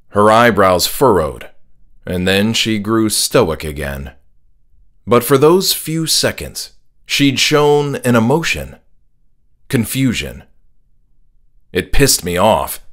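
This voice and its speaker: A man with a fairly low voice narrates a horror audiobook he wrote. He reads in the first person, slowly and somberly, with measured dramatic weight. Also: a bit bright. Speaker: author reading his own horror story.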